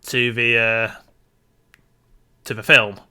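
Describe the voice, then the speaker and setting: A man with a very bright, forceful voice at an average pitch, talking casually about films as a podcast co-host. He has an explanatory, opinionated way of putting things. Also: little pitch movement, takes it slowly, clear, resonant tone. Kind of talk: casual film discussion.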